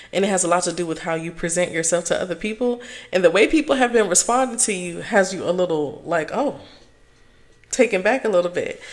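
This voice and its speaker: A hoarse woman speaking calmly and softly as she gives a casual tarot reading. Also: on the low side. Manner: calm, soft-spoken, chatty. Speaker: tarot reader.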